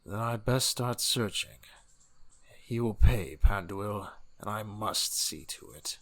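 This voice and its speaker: A man's voice: fairly low pitch, slow, a bit bright, full character voice. A man playing an earnest, formal woodsman archer in a fantasy audio drama. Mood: grimly determined. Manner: stiff, formal, archaic phrasing.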